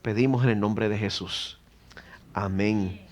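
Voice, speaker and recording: A man's voice: fairly low pitch, fairly even intonation, slow pace, darkish tone. A middle-aged man with a Puerto Rican accent preaching an earnest sermon in church, rising to emphatic exhortation. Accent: Puerto Rican Spanish. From Puerto Rico. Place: church sanctuary.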